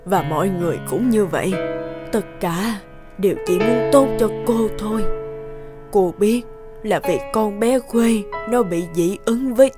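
An actress playing a kind, ailing old mother in an animated drama, speaking slowly and tenderly. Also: mid-range voice, lightly acted. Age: older. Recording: loud guitar music underneath.

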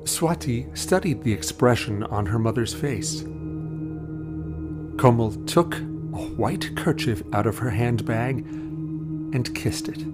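A man narrating an audio drama as a scripted story. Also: medium pitch.

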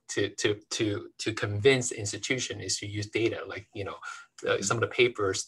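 Low, bright, husky doctor on a video call. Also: male voice. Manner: earnest, repeats words as he searches for them. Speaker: physician panelist. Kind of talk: panel discussion answer. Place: hospital office, indoors.

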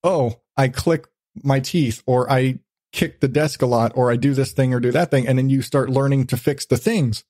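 A man's voice: mid-range voice, normal speed, mellow, no room sound, clean studio sound. A man hosting a podcast in a casual, profane chat, telling things animatedly with acted-out asides. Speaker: podcast host.

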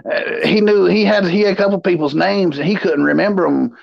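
A man's voice: high voice, a little fast, gravelly, no room sound, muffled recording. A man co-hosting a casual online stream, telling stories in a blunt, mocking way and repeating himself for emphasis. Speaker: livestream co-host. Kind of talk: casual chat, storytelling.

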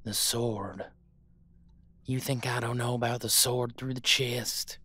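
A vengeful hunter played by a man taunts his prey in a slow drawl. The voice is husky and menacing, dry and close, in the manner of an audio drama. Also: medium pitch, fairly even intonation, pushed and bright, no room sound. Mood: accusing. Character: vengeful male monster hunter, taunting, grief-driven drawler. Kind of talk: audio roleplay acting.

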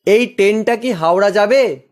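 A man's voice: sits high, normal speed, voice pushed up, close to an everyday voice. A man voicing a sceptical, questioning city office worker stranded at a station in a cartoon horror story. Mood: inquiring.

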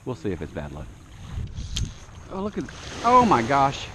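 A man's voice: mid-range voice, wildly swooping pitch, moderate pace, noisy background. Easygoing man fishing outdoors. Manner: easygoing, exclaims loudly at mishaps. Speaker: fishing vlogger. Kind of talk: casual vlog narration. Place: outdoors on a beach shore, windy. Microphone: action camera. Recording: loud music under the voice.

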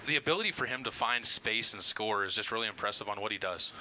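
A man's voice: lowish voice, lively intonation, quick pace, pushed and bright, poor audio. A man working as a sports interviewer, asking post-game questions. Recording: faint background noise.

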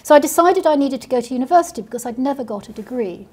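Middle-aged woman, Kenyan accent, husky, higher voice; dry self-deprecating panel storyteller. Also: animated, rapid-fire, mellow. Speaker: barrister. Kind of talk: panel conversation. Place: seminar room with audience.

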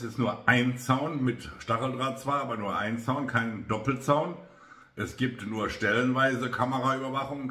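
A man in his fifties giving political commentary to camera in short, measured phrases, recorded indoors in a bare room. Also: mid-range voice, sharp tone. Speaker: politician hosting a weekly video review. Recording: roomy indoor echo.

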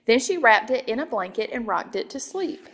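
A woman narrating a children's storybook aloud, expressive and clearly phrased. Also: on the high side, normal speed, in a large hall. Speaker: storybook reader.

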